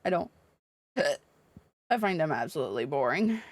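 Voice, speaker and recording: A woman's voice: low-pitched, very animated, a little slow, dry recording. A woman livestreaming a video game, chatting casually and reading dialogue aloud in an irreverent, blunt, sweary manner.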